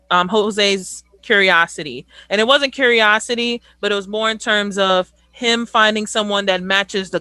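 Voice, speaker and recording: A young woman hosts a casual group conversation in a bright, forward voice. She talks bluntly and keeps it real. The sound is dry and close. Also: medium pitch, dry recording, low-quality recording. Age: 20s. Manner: blunt, outspoken. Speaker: host.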